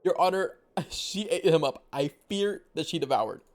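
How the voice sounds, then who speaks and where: A man's voice: fairly high pitch, moderate pace. A man giving casual, chatty reaction commentary as a game streamer, with playful slang. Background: faint game music.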